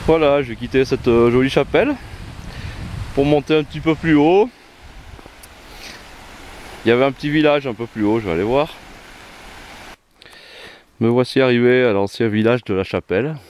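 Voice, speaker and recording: A man's voice: mid-range voice, normal speed, long pauses, a little mellow, slight background noise. A man filming his hike outdoors in a snowy mountain valley, commenting casually with dry humor. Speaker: hiker filming a walk.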